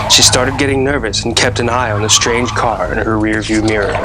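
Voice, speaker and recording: Male horror storyteller, mid-pitched, theatrical and deliberate, clear rumbling noise behind the read. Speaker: storyteller host. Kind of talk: scripted scary story narration.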